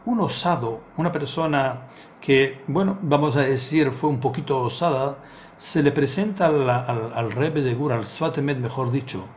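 A man's voice: medium pitch, normal speed, a little hiss or hum. A middle-aged man, a rabbi, giving a Torah lesson in a small study. He tells a parable earnestly and repeats words for emphasis, recorded on a desk webcam. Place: small indoor study with bookshelves.

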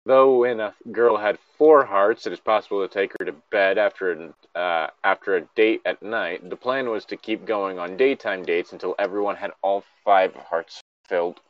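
A man's voice: deep voice, fairly even intonation, moderate pace, few pauses, no echo, lo-fi sound. A man casually reading a story aloud and breaking off with exasperated, incredulous, sweary commentary.

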